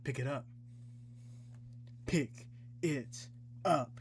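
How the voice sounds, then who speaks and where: A man voicing a taunting, menacing film villain in a slow, deliberate, drawn-out way. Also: mid-range voice, slightly rough, full character voice.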